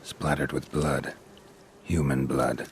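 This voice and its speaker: Very deep, gruff, deadpan monster hunter. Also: male voice, slow pace, background noise. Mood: wry deduction. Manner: deadpan, dry, terse. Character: gruff, sardonic male monster hunter, middle-aged, stoic. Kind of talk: video game voice acting.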